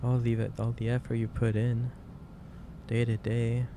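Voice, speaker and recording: A man playing a gentle, concerned office boss in a soft-spoken ASMR roleplay, talking in a hesitant, halting and careful way. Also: mid-range voice, monotone, close to an everyday voice. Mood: earnest, kindly chiding. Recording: clearly noisy ambient background.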